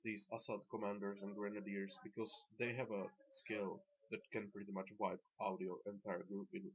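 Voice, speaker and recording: Flat, low-pitched Slovak-accented man, dull recording. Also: husky. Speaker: gamer presenting a dungeon tanking guide. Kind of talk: unscripted game walkthrough commentary. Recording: dull lo-fi voice track.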